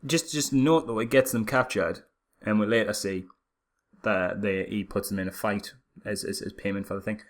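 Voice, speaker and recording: A man's voice: lowish voice, fairly even intonation, moderate pace. A man co-hosting a casual film review chat, speaking in a sarcastic, incredulous way with frequent asides. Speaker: podcast co-host.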